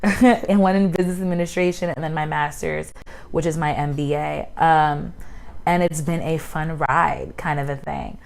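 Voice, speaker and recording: Woman, 20s, podcast host; animated, exaggerating storyteller, slightly low pitch. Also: moderate pace, creaky. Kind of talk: casual chat.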